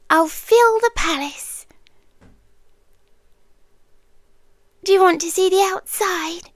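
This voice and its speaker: A woman's voice: very high voice, no echo, studio quality. A British woman playing a centuries-old possessive monster lover in an ASMR roleplay, coaxing and sweetly menacing, often slipping into a whisper. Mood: coaxing, insistent. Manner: slow, hushed, coaxing, often drops into whispers.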